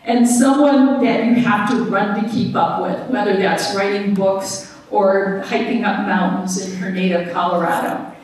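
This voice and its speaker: A woman's voice: medium pitch, measured pace, distant mic. A woman colleague giving a formal, admiring introduction of a guest speaker in a lecture hall. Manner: warm, admiring, read-out. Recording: echoey hall, heard from afar.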